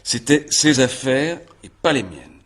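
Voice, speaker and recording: An actor playing a stubborn, guarded country man under questioning in a French radio crime drama, blunt, defensive and halting. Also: mid-range voice, expressive, a bit bright. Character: stubborn, guarded young male country murder suspect.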